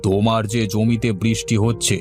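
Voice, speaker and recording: A man's voice: fairly low pitch, little pitch movement, moderate pace, a little mellow, soft background music, close to an everyday voice. A man voicing a glib village headman in a cartoon, giving breezy, matter-of-fact advice. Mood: breezy advising. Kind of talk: cartoon dialogue.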